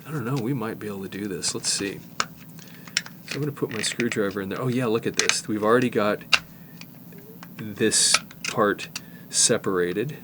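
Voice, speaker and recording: Low-voiced man walking through a repair. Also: moderate pace, pauses often, heavy background noise. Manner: relaxed, thinking aloud with restarts while working. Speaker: tape repair technician. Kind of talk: hands-on repair demonstration.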